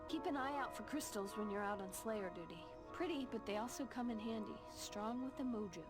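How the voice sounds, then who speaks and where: A nerdy, slightly high American teenage girl, played by a woman in a video game. She rambles in a quirky, nervous way over loud music. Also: fairly quick, natural voice. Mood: helpful, chirpy.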